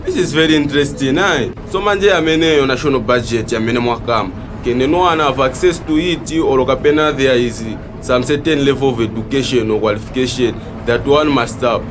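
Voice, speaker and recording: A man's voice: medium pitch, fairly quick, few pauses, lightly acted. A man with a Zambian accent acting as a cheeky, curious minibus conductor in an audio drama, talking in casual slang. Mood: curious, defensive. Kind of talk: acted audio drama dialogue in code-switched street talk. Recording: noisy street and vehicle background.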